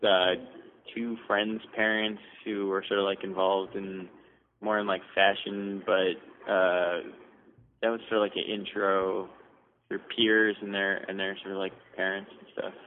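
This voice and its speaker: Young man, from toronto, low flat voice, loose hedging answers, phone-line sound, light hiss. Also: measured pace. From Toronto, Canada. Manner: loose and hedging, with many "sort of, like". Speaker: guest designer. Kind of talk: casual interview answers.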